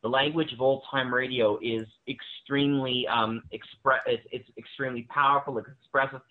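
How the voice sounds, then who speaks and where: A man talks as a guest on a panel, explaining things conversationally and leaning on key words. His mid-pitched voice comes through a tinny, narrow phone-like connection. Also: steady tone, normal speed. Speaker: panel guest on audio drama. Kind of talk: conversational commentary.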